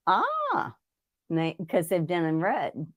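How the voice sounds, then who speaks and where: A woman's voice: fairly low pitch, wildly swooping pitch, takes it slowly. A middle-aged woman from Ohio, a guest in a casual chat. She talks in a folksy, chatty way. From Ohio, United States. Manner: folksy, chatty, laughs easily.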